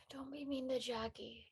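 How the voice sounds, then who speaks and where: Actress for worn-down young female student, drama, higher voice, tentative delivery. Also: fairly even intonation, slow pace, husky, exaggerated voice. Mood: vulnerable. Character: homesick, worn-down young woman college student. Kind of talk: acted dialogue in an audio-led play.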